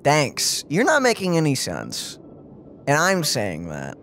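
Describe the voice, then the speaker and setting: A woman's voice: low voice, wildly swooping pitch, takes it slowly, a bit bright, slight background noise. A woman co-hosting a video game playthrough with casual, playful, teasing reactions. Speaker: let's play co-host.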